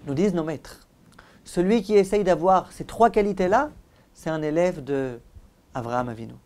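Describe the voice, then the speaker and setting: A man giving a Torah class as a rabbi, didactic and emphatic, asking rhetorical questions, repeating for emphasis and letting phrase endings drop softly. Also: on the high side, moderate pace. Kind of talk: Torah lecture.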